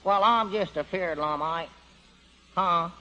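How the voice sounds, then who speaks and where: Folksy rambling hayseed, high pitch, rural Southern drawl, vintage radio comedy, muffled old broadcast. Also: male voice, slow, bright, forceful tone, heavily acted. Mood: befuddled. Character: simple-minded, talkative rural old-timer in radio comedy. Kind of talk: 1940s radio comedy acting. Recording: dull 1940s radio broadcast recording.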